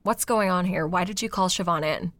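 A woman's voice: on the low side, brisk, fairly bright tone, pitched below the performer's own voice, close to an everyday voice. A woman playing a terse, suspicious woman investigator who sounds tired, in a comic audio drama.

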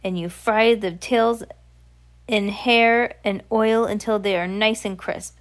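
An imperious female witch acted out by a woman reading aloud, scheming and vicious. She commands and lectures in oddly built sentences, in a storybook telling at a middling pitch. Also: steady tone, slow pace, natural voice. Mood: boastful, instructing. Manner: commanding, oddly phrased grammar.

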